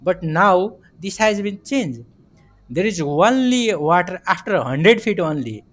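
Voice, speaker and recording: A man, a project coordinator speaking in a measured, methodical way on a formal panel. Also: high voice, expressive. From Nepal.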